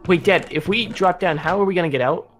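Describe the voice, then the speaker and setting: A man's voice: high voice, quick pace. A man playing an eager, worried young son in an improvised video game roleplay, questioning and exclaiming as he talks. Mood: wary. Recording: game music behind voice. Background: background music.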